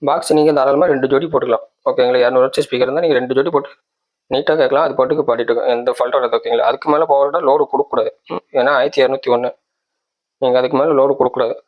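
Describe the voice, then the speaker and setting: A man's voice: mid-range voice, steady tone, racing, highs cut off. A man giving a casual product walkthrough, explaining step by step and often checking that listeners follow. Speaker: tech channel presenter.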